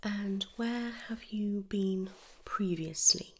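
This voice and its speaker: A British woman whispering softly and gently in an ASMR medical roleplay, reassuring and unhurried, close to the mic. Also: mid-range voice, a little hiss or hum. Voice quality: breathy whisper and hushed soft voice. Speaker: ASMR performer playing a dental receptionist or dentist.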